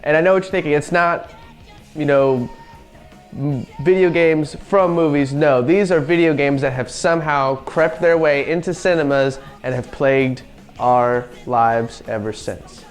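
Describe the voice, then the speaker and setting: A man's voice: fairly high pitch, unhurried, soft background music. Chatty young man hosting a game show. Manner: chatty, offhand, reads facts then riffs. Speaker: podcast host. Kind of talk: casual video review commentary.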